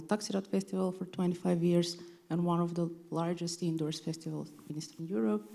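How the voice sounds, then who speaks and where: A woman's voice: fairly low pitch, mellow. A woman from North Macedonia with a Macedonian accent, introducing herself as a panelist. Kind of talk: spoken self-introduction on a panel.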